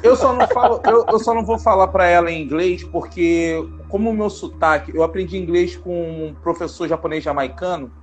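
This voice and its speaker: A man with a notably high voice talks in a loose podcast chat. He is animated and emphatic and circles back to repeat his points. Soft music plays faintly behind him. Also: a little fast, hardly any pauses. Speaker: podcast co-host. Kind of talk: casual chat.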